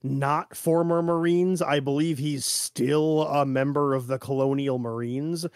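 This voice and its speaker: Guy with a kinda high voice, podcast chat, lots of "like", halting, really dry recording. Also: fairly even intonation, darkish tone, dry recording. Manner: halting, peppered with "like". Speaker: podcast co-host. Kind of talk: casual discussion from notes.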